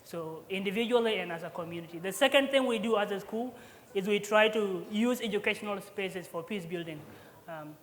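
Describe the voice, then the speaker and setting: Young man from South Sudan, halting. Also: sits high, fairly bright tone, long reverb tail. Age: 20s. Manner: earnest, halting with frequent fillers and restarts. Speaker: honoree speaking at alumni event. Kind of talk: prepared acceptance speech.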